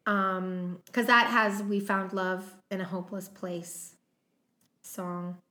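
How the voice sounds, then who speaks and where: This is a woman co-hosting a casual movie podcast, working her way through the cast list. She talks at a leisurely, drawn-out pace and leans on "um" fillers as she checks her notes. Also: medium pitch, little pitch movement, bright, forceful tone. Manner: unhurried, many "um" fillers, running through notes. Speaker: podcast co-host. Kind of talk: casual chat about a film's cast.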